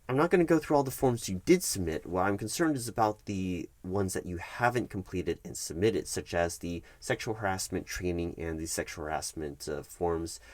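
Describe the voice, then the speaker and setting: A man playing a stern but patient HR manager in an ASMR roleplay, speaking formally and haltingly, repeating words for emphasis. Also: fairly low pitch, a little mellow, dry recording. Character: stern, patient male HR manager reprimanding an employee. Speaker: recruiting HR manager. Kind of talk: one-sided ASMR roleplay of a work meeting.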